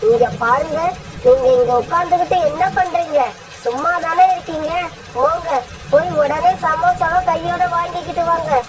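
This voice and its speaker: A woman's voice: very high voice, moderate pace, poor audio. A woman voicing an indignant, threatening eagle in a cartoon story. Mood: scolding. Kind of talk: dubbed cartoon dialogue.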